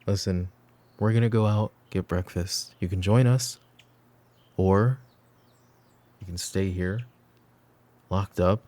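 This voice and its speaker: A man playing a weary middle-aged mafia boss in a roleplay audio drama, stern but protective, speaking in a measured, commanding way. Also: steady tone, dark tone. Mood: firm, businesslike. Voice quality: low, gravelly.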